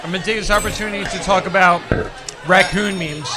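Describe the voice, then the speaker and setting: A man's voice: high voice, steady tone, fast. A man co-hosting a casual podcast who rambles and swears offhandedly. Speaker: podcast co-host. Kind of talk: casual chat. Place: busy taco restaurant. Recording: loud restaurant crowd.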